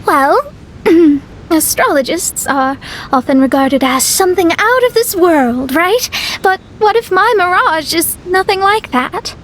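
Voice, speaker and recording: A woman's voice: very high-pitched, lively intonation, measured pace, a little hiss or hum. A woman playing a proud, easily flustered young astrologist in an anime-style game, with a self-important manner.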